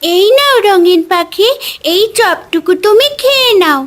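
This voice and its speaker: A woman's voice: extremely high pitch, lively intonation, measured pace. A woman voicing a kind, innocent little village girl in a cartoon story, speaking in a sweet, earnest, childlike way. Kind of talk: Bengali cartoon story dubbing.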